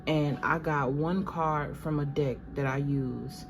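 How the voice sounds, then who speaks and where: A woman with a low voice gives a channeled tarot reading and sounds a little stuffy from allergies. She talks casually and drifts from thought to thought, calling her viewers "y'all". Steady background noise runs under the recording. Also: takes it slowly. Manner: conversational, meandering, addresses viewers as "y'all". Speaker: tarot reader.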